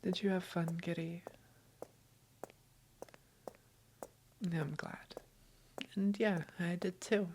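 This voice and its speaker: A woman playing a doting, gently teasing girlfriend in an intimate roleplay audio, affectionate and reassuring. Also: fairly low pitch, steady tone, a little slow, a little hiss or hum. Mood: tender.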